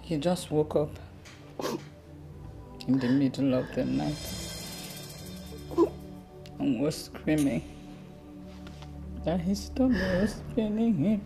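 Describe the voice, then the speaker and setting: A woman's voice: low-pitched, takes it slowly, vocal fry, long pauses, over music, heavily acted. An actress playing a subdued woman explaining how a man died. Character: worried woman recounting a death, subdued.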